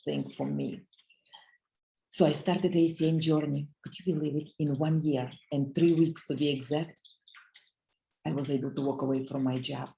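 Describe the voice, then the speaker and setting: A woman from Russia, middle-aged, speaks with a strong Russian accent and a gravelly edge in her voice. Her pitch stays fairly flat as she earnestly addresses listeners on a video call. She sounds heartfelt and speaks directly to her audience. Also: a little hiss or hum, low-quality recording. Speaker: motivational business speaker. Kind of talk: heartfelt motivational talk.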